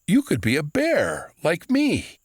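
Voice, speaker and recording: A man's voice: on the high side, wildly swooping pitch, takes it slowly, dry recording, clean studio sound, voice pushed up, full character voice. A man voicing a friendly, goofy magical bear for a children's storybook, in a playful, teasing drawl. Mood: curious, friendly.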